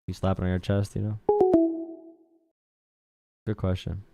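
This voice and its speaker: A young man hosting a casual political livestream with callers, slangy and quick to mock his chat. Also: sits low, little pitch movement, gravelly, dark tone, no echo, clean studio sound, faint music behind. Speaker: livestream host.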